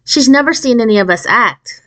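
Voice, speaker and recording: A woman's voice: fairly high pitch, lively intonation, moderate pace, fairly bright tone, pitched above the performer's own voice. A woman voicing an easygoing, loyal fifth-grade girl with a casual, thoughtful manner in a storybook.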